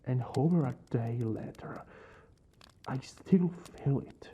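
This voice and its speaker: A man acting a brooding vampire lord, aloof yet secretly caring, in a soft, breathy ASMR roleplay that often drops toward a whisper. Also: medium pitch, slow pace, lo-fi sound. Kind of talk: ASMR roleplay, intimate dramatic monologue.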